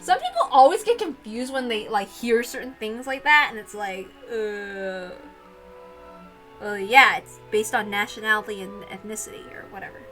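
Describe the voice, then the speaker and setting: A woman's voice: mid-range voice, expressive, normal speed, a bit bright, soft background music, rough recording. A woman reading a visual novel aloud as narrator, breaking into casual, chatty asides.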